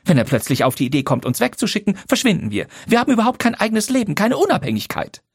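A man reading a wife's lines, voicing a slender, energetic woman who speaks dryly and defiantly. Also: high voice, fast, breathy edge, no room sound, voice pushed up, exaggerated voice. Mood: bitter. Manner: dry, biting, defiant. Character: energetic slender sharp-tongued wife.

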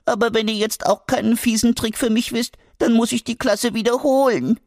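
A man voicing a small, anxious creature with long spoon ears in a children's audiobook, in a high squeaky voice. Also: steady tone, normal speed, dry recording, clean studio sound. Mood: pleading. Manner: high squeaky, whiny then gleeful. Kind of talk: audiobook character voice.

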